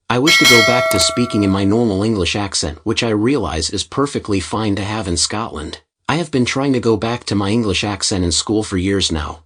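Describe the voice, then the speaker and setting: A man narrates an online post in a steady, scripted read. His voice sits low and carries a husky, breathy edge. The delivery stays even from line to line. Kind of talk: scripted reading of online posts.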